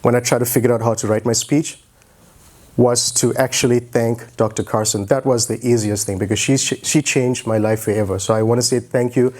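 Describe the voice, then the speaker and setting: A man in his forties speaks to an event from the stage. He has a medium-pitched voice and tells his story in a loose, conversational style with a joking streak. He talks into a handheld mic as a guest speaker. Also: fairly even intonation, a little fast, a little mellow, slight background noise. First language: English. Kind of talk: informal motivational talk on stage. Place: stage in an auditorium, indoors. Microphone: handheld microphone.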